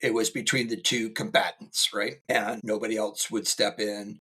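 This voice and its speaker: A man's voice: fairly low pitch, level tone, normal speed, sharp tone, slightly rough. A middle-aged man co-hosting a podcast as a school safety specialist, talking casually with dry teasing humor.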